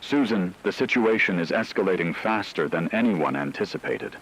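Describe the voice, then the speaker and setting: Deep, grave radio news anchor played by a man. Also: animated, a bit bright, a little hiss or hum, rough recording. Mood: grave, urgent. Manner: measured, solemn, formal delivery. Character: grave male radio anchor reporting a looming war. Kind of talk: scripted fictional emergency radio broadcast.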